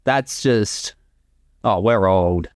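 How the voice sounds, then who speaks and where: A man's voice: fairly low pitch, extremely slow, no echo. A man giving casual creator commentary, chatty and explanatory, close on the mic. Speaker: commentary host, series creator.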